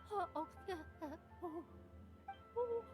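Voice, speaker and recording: A woman's voice: very high-pitched, slow, over music. A woman voicing an eager, bubbly young female reporter in a video game, gushing and exclamatory. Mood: overexcited. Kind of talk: scripted video game dialogue.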